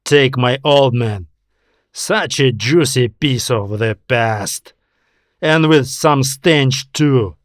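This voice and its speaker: A man playing a bitter, defiant man with a forceful, scornful delivery in a science fiction story. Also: medium pitch, slow, no echo, clean studio sound, natural voice. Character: bitter, defiant man, furious at his dead father.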